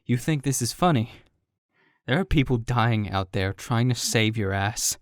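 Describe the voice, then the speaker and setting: A man's voice: medium pitch, animated, unhurried, dry recording, clean studio sound, lightly acted. A man voicing a bitter, hot-tempered young student in a comic dub, scolding and contemptuous.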